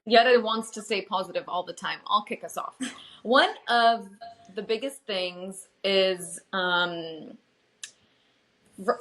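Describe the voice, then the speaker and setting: A woman co-hosting a casual podcast from home over a video call, with a light American-tinged accent, chatty and quick to laugh. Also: fairly low pitch, fairly bright tone, rough recording. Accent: light American-tinged English. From Lebanon. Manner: chatty, fillers and restarts, laughs often. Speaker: podcast co-host. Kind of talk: casual chat.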